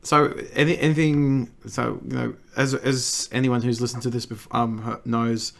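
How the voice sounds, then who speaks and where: An Australian man hosts a relaxed podcast chat. His voice is croaky and gravelly after a cold, pitched in an ordinary middle range. He stumbles and restarts his sentences as he goes. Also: moderate pace. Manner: stammering restarts, laughs often. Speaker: podcast host.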